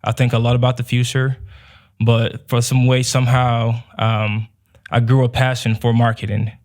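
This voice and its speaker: A young man from Nigeria, answering as a guest in a relaxed way with many fillers. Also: medium pitch, normal speed. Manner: relaxed, many "um" and "you know". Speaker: guest, business owner. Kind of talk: casual interview answers.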